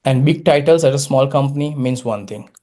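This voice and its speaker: A man's voice: medium pitch, dark tone. Gujarati-accented man giving a speech. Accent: Indian (Gujarati). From India. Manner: storytelling, dramatic pauses, self-deprecating humor. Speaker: Toastmasters speaker. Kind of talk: prepared public speech.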